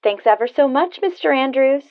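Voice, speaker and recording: A woman plays a breezy, well-mannered teenage girl in a 1940s-style radio comedy. Her voice sits fairly high and comes through a little dull and lo-fi. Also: lively intonation, moderate pace. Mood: sweet, breezy. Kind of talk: 1940s radio sitcom acting. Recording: dull lo-fi phone-call sound.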